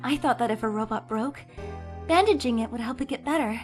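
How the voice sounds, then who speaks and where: A woman's voice: on the high side, fairly quick, a little mellow, faint music behind, lightly acted. A woman voicing an earnest, cheerful young female defense lawyer who speaks with energy and sometimes breaks into emotional pleas. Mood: wistful. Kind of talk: fan dub voice acting.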